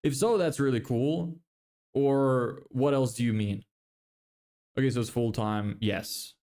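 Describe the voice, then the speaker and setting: A man's voice: medium pitch, a little slow, mellow. Casual young man livestreaming, swearing. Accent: American-sounding English. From Lithuania. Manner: loose, self-deprecating, swears casually, laughs often. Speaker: livestreamer building a business. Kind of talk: casual livestream chat reading viewer comments.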